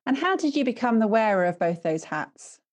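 A woman's voice: a little high, big pitch swings, a little fast, dry recording. A woman hosting a podcast, delivering a polished, upbeat scripted intro and then asking her guest questions. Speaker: podcast host.

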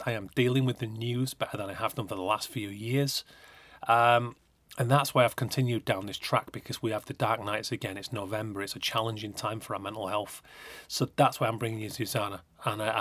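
A man's voice: fairly low pitch, a bit bright, dry recording. A man in his thirties hosting a podcast, talking in an earnest, rambling, passionate way. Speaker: podcast host. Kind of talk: podcast intro and interview.

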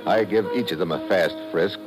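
Deep-voiced hard-boiled American secret agent played by a man. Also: brisk, over music, natural voice, poor audio. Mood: brisk narrating. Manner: clipped, hard-boiled, wry. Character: tough, wry male American special agent. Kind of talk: 1950s radio adventure acting, first-person narration. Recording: old mid-century radio recording.

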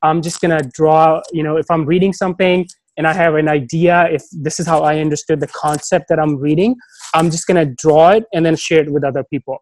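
Guy on a podcast mic, thinks out loud, lots of restarts, dead dry audio. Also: fairly high pitch, steady tone, no echo. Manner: thoughtful, halting, restarts often. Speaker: podcast guest. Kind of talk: casual conversation.

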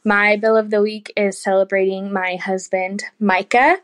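A woman's voice: mid-range voice, flat delivery, normal speed. A woman from Oklahoma leaving an upbeat, earnest voice message for a podcast. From Oklahoma, USA. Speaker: caller. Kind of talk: recorded voice message.

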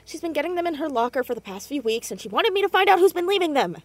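A woman's voice: high voice, big pitch swings, very fast. A young woman playing a blunt, sardonic, self-conscious teenage girl in a naturalistic audio drama, easily exasperated. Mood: flustered, exasperated.

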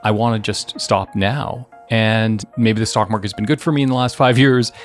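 A man's voice: on the low side, fairly even intonation, moderate pace, soft background music. A man co-hosting a podcast, talking through ideas in casual, analytical conversation. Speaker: podcast co-host.

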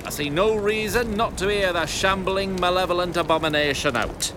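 An actor playing a genial but scheming small-town mayor in an audio drama, folksy, pompous and placating. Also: male voice, high voice, normal speed, noisy background, lightly acted. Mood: placating, officious. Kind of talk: audio fiction drama acting.

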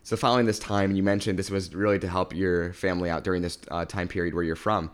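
Fast-talking, low-voiced male podcast host. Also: fairly even intonation, clear, resonant tone. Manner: scripted intro, then brief encouraging backchannels. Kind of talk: podcast interview.

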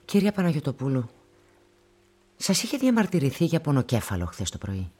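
An actor playing a polite police investigator in a radio play, asking questions in a courteous, hesitant, formal way. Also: male voice, on the high side, huge pitch swings, warm tone, husky, full character voice. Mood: gently probing. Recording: music under the voice.

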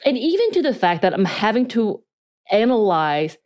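A woman's voice: mid-range voice, sing-song, moderate pace, darkish tone. A woman co-hosting a podcast, speaking candidly with a light blend of Korean and North Georgia mountain accent, analytical and self-questioning. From United States, North Georgia. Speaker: podcast co-host. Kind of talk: casual podcast chat.

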